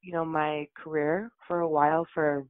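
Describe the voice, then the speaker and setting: A woman's voice: low voice, flat delivery, normal speed, dry recording, narrow, tinny audio. A woman from Utah chatting casually as an interview guest, laughing often and saying "like" a lot. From Utah, USA.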